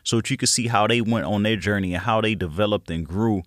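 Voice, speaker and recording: A man's voice: lowish voice, fast talker, fairly bright tone, dry recording, clean studio sound. Earnest man hosting a motivational podcast. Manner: earnest, grateful, encouraging. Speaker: podcast host.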